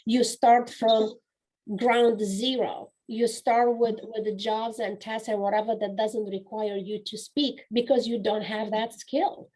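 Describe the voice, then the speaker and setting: A Romanian-accented woman in her forties talks through an interview in English, her second language. Her voice sits a little on the high side. She sounds earnest and reflective, often stopping to restart a phrase. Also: fairly even intonation, a little slow, dark tone, low-quality recording. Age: 40s. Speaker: guest speaker and coach. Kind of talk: conversational interview.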